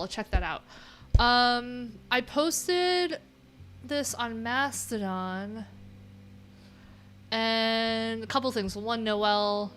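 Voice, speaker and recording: A woman's voice: mid-range voice, a bit bright, a little hiss or hum. A woman livestream host chatting casually and reading things aloud, with wry, informal, rambling asides. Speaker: livestream host, web developer.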